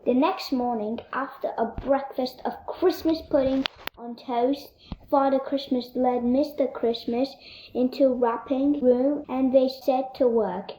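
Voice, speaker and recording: Female storybook reader, high-pitched, soft-toned, children's read-aloud, roomy recording. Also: fairly even intonation, unhurried, slight background noise.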